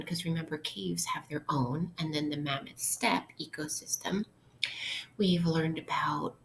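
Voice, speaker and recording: Slow-paced woman teacher reading aloud to children. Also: lowish voice, a little hiss or hum, poor audio. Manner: instructional, posing questions to listeners. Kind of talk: interactive read-aloud lesson for young children.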